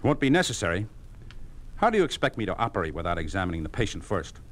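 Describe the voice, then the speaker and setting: A man's voice: low-pitched, big pitch swings, fast, fairly bright tone. An American man acting a weary, indignant, protesting doctor in a 1950s radio drama, on a noisy old recording. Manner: incredulous, protesting. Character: weary, indignant doctor under a totalitarian regime.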